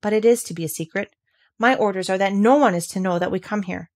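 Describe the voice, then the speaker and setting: Lady playing bossy proud little rich boy in a storybook, sharp and commanding. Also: mid-range voice, quick pace, lightly acted. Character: imperious, proud young boy master.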